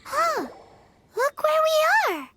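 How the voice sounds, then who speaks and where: A woman voicing a tiny, cheeky fairy companion with a squeaky, childlike voice in a video game. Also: very animated, slow, heavily acted. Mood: puzzled. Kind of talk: cartoonish game voice acting. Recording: music behind the voice.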